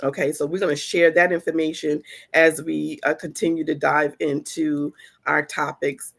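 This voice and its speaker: A woman hosting a podcast in a chatty, animated way, a little congested from the flu. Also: deep voice, normal speed, vocal fry. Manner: chatty, animated storytelling with laughs. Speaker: podcast host. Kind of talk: casual podcast chat.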